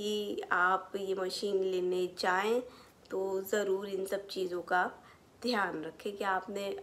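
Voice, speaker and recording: A woman in her thirties with an Indian accent, giving an informal explanatory health talk and mixing in English medical terms. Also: medium pitch. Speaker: physiotherapist presenting health advice. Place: small furnished living room, indoors.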